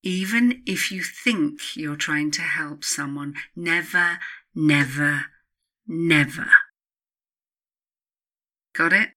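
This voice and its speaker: A woman reading her own self-help book as scripted narration, in a measured, instructive manner with emphatic pauses. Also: low voice, bright, forceful tone.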